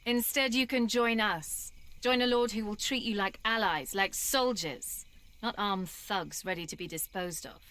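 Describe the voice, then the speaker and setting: A woman's voice: mid-range voice, a little slow, fairly bright tone, lightly acted. A woman voicing a level-headed, dry female soldier, composed and persuasive, in a video game cutscene. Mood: earnest, firm.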